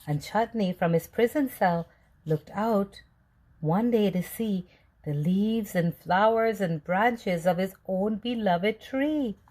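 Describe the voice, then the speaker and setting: A woman reading a children's storybook aloud with deliberate, expressive phrasing. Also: medium pitch, poor audio. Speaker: storybook reader.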